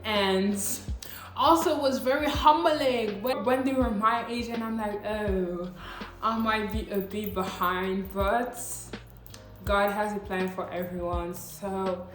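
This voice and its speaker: A woman's voice: mid-range voice, fairly even intonation, takes it slowly, fairly bright tone. A woman in her late teens, a non-native English speaker, chatting upbeat in a casual scripted vlog with slangy asides. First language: not English. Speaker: vlogger. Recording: echoey room with faint music.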